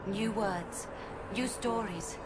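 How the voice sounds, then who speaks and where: A woman playing an ancient, courteous spirit of learning in a video game, speaking formally in halting, fragmented phrases. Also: medium pitch, lightly acted. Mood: serene. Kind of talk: cinematic video game dialogue. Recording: echoing, with loud ambient noise.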